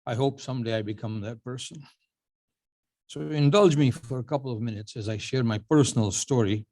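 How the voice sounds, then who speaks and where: A man in his seventies from Uganda giving a prepared acceptance speech, telling his story in a measured way with dry, self-deprecating humor. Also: medium pitch, darkish tone. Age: 70s. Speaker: award honoree giving a speech.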